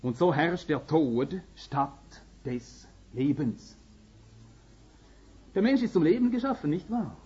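Swedish-speaking Finnish preacher, husky and earnest. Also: male voice, a little high, darkish tone. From Finland. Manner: earnest, emphatic, rising to urgent peaks. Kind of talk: sermon. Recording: slightly dull lo-fi recording.

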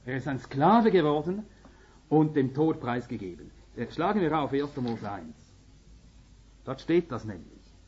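A man's voice: mid-range voice, big pitch swings, measured pace, darkish tone, husky. A middle-aged or older man from Finland, a native Swedish speaker with a Swedish-speaking Finnish accent, preaching a sermon in an earnest, emphatic manner that climbs to urgent peaks. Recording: slightly dull lo-fi recording.

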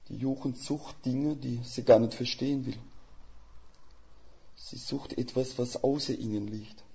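An older man preaching as a guest speaker in a folksy, plain-spoken way, with a Swiss German dialect and a gravelly voice. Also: medium pitch, steady tone, a little slow, warm tone. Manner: folksy, plain-spoken, with homely images and rhetorical questions. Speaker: missionary. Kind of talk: sermon. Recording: slightly dull lo-fi sound.

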